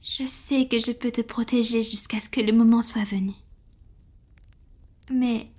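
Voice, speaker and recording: A woman playing a tender, devoted female vampire in an intimate roleplay audio, speaking softly and affectionately, often in a breathy whisper. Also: fairly high pitch, steady tone. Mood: loving, anxious. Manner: slow, gentle, affectionate, with pauses. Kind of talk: French roleplay audio, soft intimate ASMR acting.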